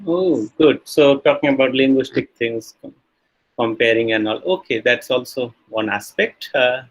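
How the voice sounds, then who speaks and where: A man's voice: medium pitch, fairly even intonation, moderate pace, low-quality recording. A man with an Indian English accent teaching a university class online, speaking with many fillers and calling on students to answer. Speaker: university lecturer.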